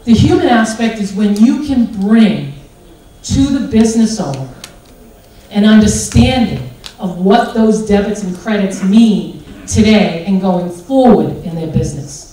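A middle-aged American woman giving a lively, joking conference talk in a large hotel hall. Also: medium pitch, unhurried. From United States. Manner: big, animated, joking delivery. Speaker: conference speaker. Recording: echoey hall, PA from far off.

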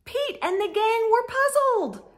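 A middle-aged woman reading a children's storybook aloud with expressive, exaggerated emphasis and sing-song refrains. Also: very high voice, slow pace. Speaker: storytime read-aloud host. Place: indoor room with bookshelves.

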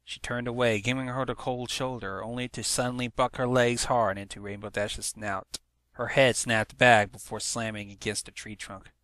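Bright-voiced man narrating a story. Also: mid-range voice, level tone, moderate pace, no room sound. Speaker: fan fiction narrator. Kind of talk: dramatic scripted story narration.

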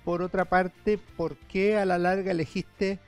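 A middle-aged man with a notably high voice hosts a studio interview. He asks long, winding questions in a friendly tone over a headset mic, with faint music underneath. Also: little pitch movement, unhurried, smooth. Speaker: historian and TV show host. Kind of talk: interview hosting. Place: TV studio set.

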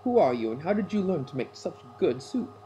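A woman's voice: low-pitched, big pitch swings, a little fast, background noise, voice pushed down. A woman voicing a commanding, curious storybook king with an imperious, declaiming manner. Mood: commanding, questioning. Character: commanding, curious king of a fairy tale, lovestruck.

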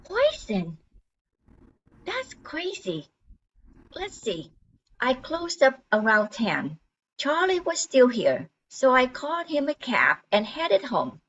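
An older woman playing an unhurried barmaid in an amateur radio drama. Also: high voice, huge pitch swings, a bit bright, exaggerated voice. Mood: matter-of-fact. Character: Nadine, unhurried dive bar barmaid. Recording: muffled, noisy room recording.

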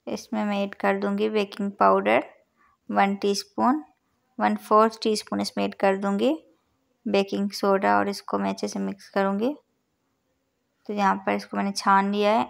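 Calm gravelly woman hosting a cooking channel. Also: medium pitch. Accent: Urdu-influenced Hindustani. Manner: calm, instructive, counts out measures. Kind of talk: step-by-step recipe voice-over. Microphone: voice-over over overhead cooking shots.

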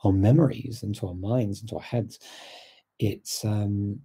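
Gravelly dark-voiced middle-aged man, webcam chat. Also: lowish voice, steady tone, a little slow. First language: Dutch. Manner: thoughtful, with frequent ums and repeated words. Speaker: language learning channel host. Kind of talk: casual live chat answering viewer questions. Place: small indoor room with a plain wall. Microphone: webcam at desk, close framing.

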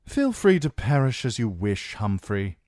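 A man's voice: medium pitch, big pitch swings, a little slow, darkish tone, dry recording, studio quality, pitched above the performer's own voice. A man voicing a weary, pragmatic young knight who argues with sardonic reasoning.

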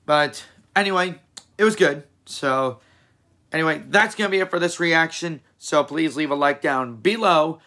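A hoarse young man hosting a reaction video, rambling and correcting himself as he talks in a small room. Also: a little high, normal speed, pushed and bright. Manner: rambling, self-correcting, chatty. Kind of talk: casual reaction commentary.

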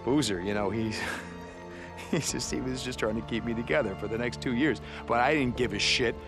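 An actor playing a hardened biker soldier in a game cutscene, talking roughly and bluntly, swearing freely. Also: male voice, mid-range voice, moderate pace, lightly acted. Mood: grieving, bitter. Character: hardened male drifter biker, gruff and stubborn. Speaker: biker soldier, main character. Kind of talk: video game cutscene acting. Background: game score music.

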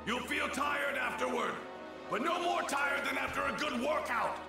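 An actor playing a proud, gruff, imperious warrior who barks blunt orders in an anime game. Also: male voice, very high voice, moderate pace, heavy reverb, over music. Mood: commanding. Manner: commanding, blunt, impatient. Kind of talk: English dub of an anime video game cutscene.

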